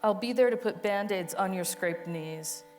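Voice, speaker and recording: A woman with a mid-pitched voice reads a personal monologue aloud as a dramatic piece. She tells it tenderly and with emphasis, over music in the background. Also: moderate pace. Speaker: co-reader of a monologue. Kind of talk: dramatic reading of an autobiographical monologue.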